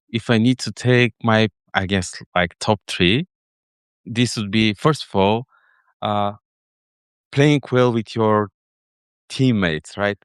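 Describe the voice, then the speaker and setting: A middle-aged man from Bulgaria with a Bulgarian accent, explaining things casually as an interview guest, thinking aloud in halting phrases. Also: on the low side, clear, resonant tone, no room sound, clean studio sound. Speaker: interview guest, head of data engineering.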